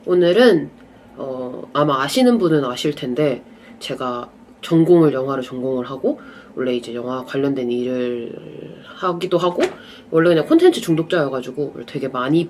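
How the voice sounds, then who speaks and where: Young vlogger w a bit of a cold, stuffy nasal voice, just rambling chill about what they watched, faint hiss behind. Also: mid-range voice. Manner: relaxed, chatty, drifting off into asides. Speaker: vlogger reviewing films and dramas. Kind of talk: casual rambling chat to camera.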